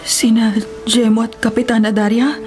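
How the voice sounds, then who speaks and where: A woman's voice: fairly high pitch, level tone, moderate pace, a little mellow, natural voice. Caring Filipino heroine, acted by a woman. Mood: grateful, concerned. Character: caring, grateful woman, close to the hero. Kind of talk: Filipino radio drama acting. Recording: dramatic music behind voice.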